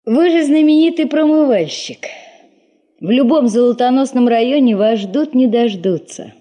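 An actress playing a weary, bitter middle-aged secretary in a 1970s Soviet radio drama, with an expressive, rueful manner. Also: female voice, fairly high pitch, moderate pace, natural voice. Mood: wry, reproachful. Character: weary, bitter older secretary, a bereaved mother in the north.

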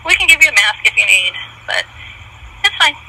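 A woman with a high voice talks casually over speakerphone as an employee taking a call. The line is noisy. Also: sing-song, a little fast. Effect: phone speaker. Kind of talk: casual phone conversation.

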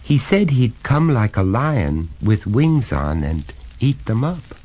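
A man voicing a frightened but quietly stubborn little boy with an earnest, whimpering delivery in a storybook reading. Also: medium pitch, sing-song, slow pace, voice pushed up. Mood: whimpering, defiant.

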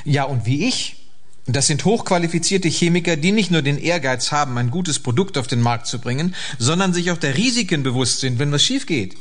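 A man's voice: fairly high pitch, animated, a little fast, a bit bright. An actor playing a confident fixer reporting to his boss in a radio play, assured and matter-of-fact. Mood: confident, formal. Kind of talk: German radio play acting.

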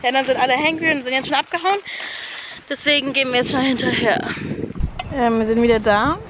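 A woman's voice: sits high, steady tone, quick pace, noisy background. Young woman vlogging from her phone. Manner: chatty, filler-heavy, mixes English words into German. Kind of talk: casual travel vlog. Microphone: phone at arm's length.

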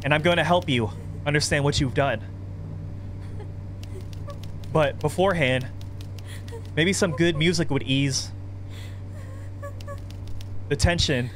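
A man voicing a possessive, softly threatening psychopath of thirty from a horror game, coaxing and sinister. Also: fairly high pitch, unhurried, long pauses, a little hiss or hum. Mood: calm menace. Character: menacing thirty-year-old male psychopath, possessive, calmly sinister. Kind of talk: reading horror game dialogue aloud.

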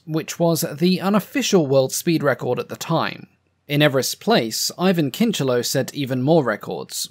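A man narrating a scripted aviation history documentary in a measured, earnest way, his voice a bit uneven from having lost it. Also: mid-range voice. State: voice a bit up and down from losing it.

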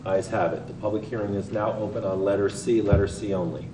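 A man chairing a council meeting, reading procedural motions in a brisk, routine way, recorded in a large council chamber. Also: on the low side, fairly even intonation. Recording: echoey chamber, far mic, background noise.

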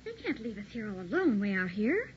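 A woman's voice: mid-range voice, very animated, fairly quick, lightly acted. A woman playing a frightened young countess in an old radio horror play. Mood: anxious. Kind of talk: 1960s old-time radio horror acting. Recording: muffled old radio recording, background noise.

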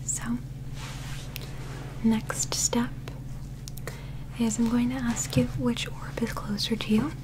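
A young woman doing a soft-spoken ASMR medical roleplay in a breathy whisper, with gentle, measured, clinical instructions. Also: fairly high pitch, flat delivery, background noise. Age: young adult. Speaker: ASMR performer playing an alien medical examiner. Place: small indoor set.

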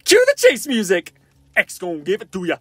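A man's voice: high voice, wildly swooping pitch, moderate pace, fairly bright tone, breathy edge, dry recording, heavily acted. A man voicing a cocky, flirty, goofy wisecracking survivor for fan-made video game lines, in a playful, theatrical, quippy manner. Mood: excited, alarmed. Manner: playful, theatrical, quippy, often sing-song.

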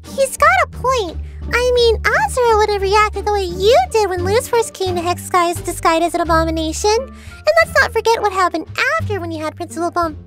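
A woman's voice: very high-pitched, brisk, over music. A woman voicing a chirpy, skeptical teenage witch girl who teases her friends in a comic dub. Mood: amused, teasing. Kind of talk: comic dub character acting.

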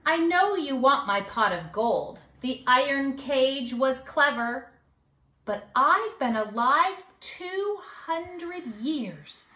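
A woman's voice: high voice, expressive, takes it slowly, bright, forceful tone, reverberant, pitched above the performer's own voice, heavily acted. A woman voicing a naughty, boastful little old leprechaun in a storybook, taunting in theatrical singsong rhymes. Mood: gleeful. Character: naughty, boastful two-hundred-year-old little male leprechaun trickster. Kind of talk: storybook read-aloud. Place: living room at home.

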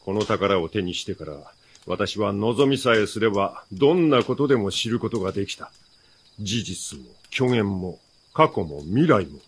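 A man's voice: fairly low pitch, animated, normal speed, husky. An actor playing a smug, all-knowing nobleman villain with formal, archaic, courtly speech in a radio drama. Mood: lordly, knowing.

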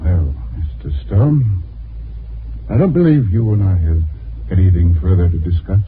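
Low voiced snooty rich husband, stiff n cold, tinny old radio. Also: male voice, animated, normal speed, background noise. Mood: cold, indignant. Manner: stiff, formal. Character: haughty rich male lawyer husband, cold and threatening. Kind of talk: 1950s radio drama acting. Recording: old 1950s radio broadcast, tinny band-limited.

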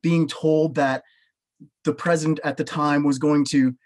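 Brief: Canadian man, 30s, emphatic testimony over a webcam, a bit higher-pitched than most men. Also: level tone, measured pace, darkish tone. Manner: earnest, emphatic, gestures with both hands. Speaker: panelist, professor. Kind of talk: personal testimony on a video call. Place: small home office with bookshelves. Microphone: webcam on a video call.

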